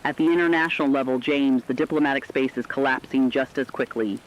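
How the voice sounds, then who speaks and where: A man's voice: mid-range voice, a little fast, dull audio, lightly acted. A man playing a serious radio correspondent, giving a scripted emergency war report with formal, emphatic, clipped phrasing. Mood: serious, urgent.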